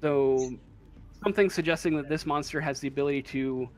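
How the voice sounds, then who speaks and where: A man working as game master, improvising narration for a tabletop role-playing game in a halting way, searching for words. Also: on the high side.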